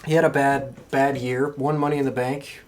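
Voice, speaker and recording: A man's voice: medium pitch, level tone, moderate pace. A young man hosting a wrestling podcast, talking in a casual, conversational way. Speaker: podcast host. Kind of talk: casual chat about pro wrestling.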